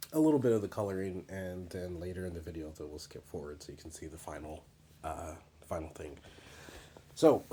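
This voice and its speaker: A man's voice: deep voice, a little mellow. A man talking casually over his drawing, his voice a little scratchy as he gets over being sick, rambling with many fillers and restarts. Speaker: artist narrating his drawing process.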